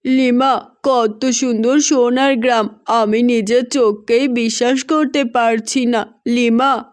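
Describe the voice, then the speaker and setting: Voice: mid-range voice, smooth, lightly acted. An actor voicing a good-hearted young village boy in a cartoon fairy tale, marvelling and eager.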